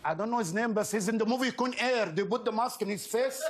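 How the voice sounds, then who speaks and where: A man's voice: high voice, quick pace, noisy background. A man appearing as a talk show guest, talking effusively in simple, broken phrases. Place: TV studio with a live audience.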